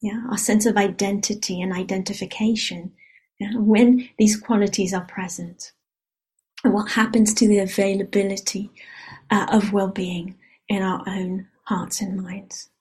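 A woman whose mother tongue is Hebrew guides a reflection after meditation in a retreat hall. Her voice is mellow and breathy. She speaks softly and without hurry, and often ends a thought with a quiet "yeah?". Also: medium pitch, flat delivery. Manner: soft, unhurried, often adds a questioning "yeah?". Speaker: meditation teacher.

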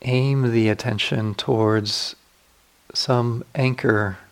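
A man leading a guided meditation as a teacher, giving gentle, unhurried instructions with long pauses. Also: mid-range voice, fairly even intonation.

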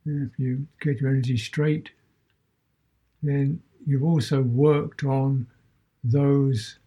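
A man teaching a guided meditation as a Buddhist teacher, speaking in short measured phrases with long pauses between them. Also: medium pitch, mellow, slightly rough, poor audio.

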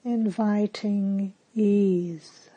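A woman meditation teacher leading a guided meditation in a calm, gentle, soothing manner with long pauses. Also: medium pitch, breathy edge, lo-fi sound.

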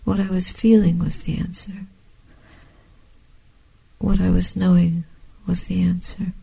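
An older woman, a Buddhist meditation teacher, speaking softly and breathily in a measured, contemplative way, with short phrases and long pauses. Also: on the low side, little pitch movement, creaky, slightly rough, poor audio. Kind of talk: reflective dharma talk and guided meditation.

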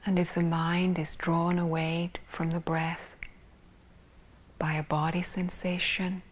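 A woman teaching meditation in a soft, breathy, husky voice, calmly and gently guiding with long pauses between phrases. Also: fairly low pitch, poor audio. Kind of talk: guided meditation.

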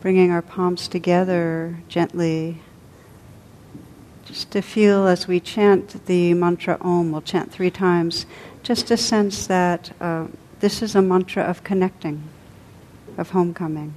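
A woman meditation teacher leading a guided meditation, speaking softly and unhurriedly with long pauses between phrases. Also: on the low side, fairly even intonation.

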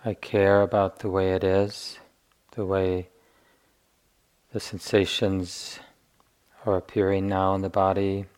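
A man guiding a meditation as a teacher, speaking gently and deliberately with long pauses. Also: sits low, little pitch movement, smooth. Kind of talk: guided meditation instruction.

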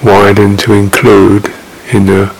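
A man guiding a meditation in an unscripted, halting, reflective way, leaving long pauses between phrases. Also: fairly low pitch, creaky, rough recording. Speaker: meditation teacher. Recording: steady background hiss.

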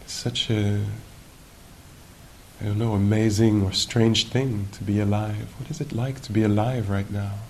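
Male meditation teacher, lowish creaky voice, unhurried and musing, live recording with faint rumbling noise. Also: steady tone. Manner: unhurried, musing, gentle questioning with long pauses. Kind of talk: guided meditation at a retreat.

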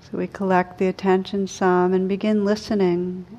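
A woman meditation teacher leading a guided meditation in a gentle, unhurried, soothing way with long pauses. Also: mid-range voice, level tone, muffled recording.

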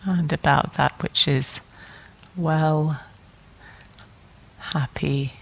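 A woman meditation teacher leading a guided meditation calmly and gently, in short phrases with long pauses between them. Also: deep voice, vocal fry.

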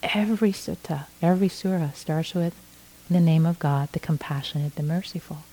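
A middle-aged woman from Iran, a native Farsi speaker, giving a gentle dharma talk as a meditation teacher, often restarting her phrases. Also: low-pitched, poor audio. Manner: slow, gentle, with frequent restarts.

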